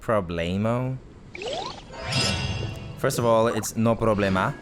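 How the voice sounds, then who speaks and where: A man's voice: on the low side, slow, loud music behind the voice. A man from Britain giving casual, rambling let's-play commentary as he plays, chatty, self-mocking and often laughing. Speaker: gaming video host.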